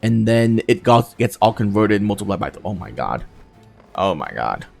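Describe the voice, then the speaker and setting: Creaky-voiced man doing casual game commentary. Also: lowish voice, very animated, moderate pace, a little mellow, soft background music. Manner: thinking aloud, casually profane. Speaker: gaming video host.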